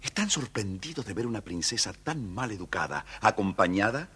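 A man's voice: fairly low pitch, very animated, normal speed, fairly bright tone, husky. A man with an Argentine accent narrating a scripted children's story as the storyteller.

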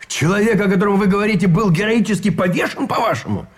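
An actor playing a proud, exasperated Russian army officer in a radio play, dismissive and indignant. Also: male voice, high-pitched, quick pace, close to an everyday voice.

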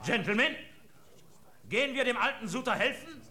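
A brash coffeehouse adventurer in a radio drama. His high, sharp voice goads his companions on. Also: lively intonation, normal speed, full character voice. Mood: brash, eager. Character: brash male coffeehouse adventurer egging others on. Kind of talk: German radio drama acting.

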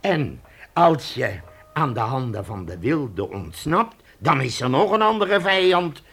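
A domineering frontier fort chief in a radio play. He speaks slowly and emphatically, with big swings of pitch and a gravelly edge, chuckling as he warns travellers. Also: big pitch swings, a bit bright, heavily acted. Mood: urgent persuasion. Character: hearty, domineering British trading-post chief warning settlers. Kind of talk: radio drama acting.

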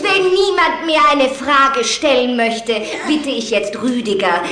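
An actress playing the stern head of a vampire family council in an audio play, ceremonious and admonishing. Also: female voice, high voice, slow, bright, forceful tone, full character voice. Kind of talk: German audio play acting. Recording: echoey room, faint noise.

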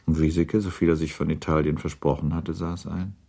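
A man reading scripted literary narration for a radio play, measured and composed. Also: very deep voice, flat delivery, vocal fry, warm tone, slightly rough.

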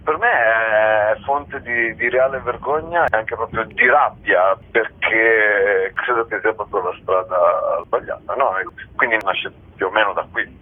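A man giving a radio interview as a theatre guest, speaking reflectively and haltingly, often repeating his words. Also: on the low side, husky. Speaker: guest theatre actor and author. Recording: tinny phone line on radio.

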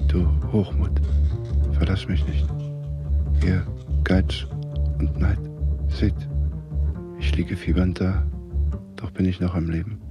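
An actor playing a defiant, dying man who declaims invocations against death in a radio play. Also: sits low. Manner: declamatory, invoking. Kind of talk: 1960s German radio drama.

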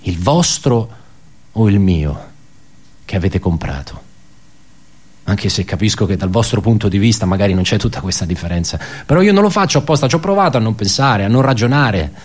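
Brooding office worker, lowish voice, raspy edge, halting, repetitive, anguished, pedantic, radio drama monologue. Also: male voice, big pitch swings. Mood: pleading, agitated. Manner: halting, repeats words, rhetorical questions.

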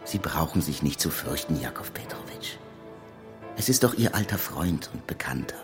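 An actor playing a sinister, soothing doctor in a radio play, hushed and falsely reassuring. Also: male voice, extremely low pitch, animated, normal speed, over music. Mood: ominously soothing. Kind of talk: literary radio play acting.

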